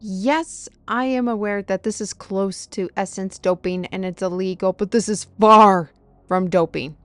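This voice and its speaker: A woman's voice: mid-range voice, unhurried, dry recording, close to an everyday voice. A woman playing a knowledgeable fantasy companion, explaining lore in an earnest, wordy way in an audio roleplay. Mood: instructive.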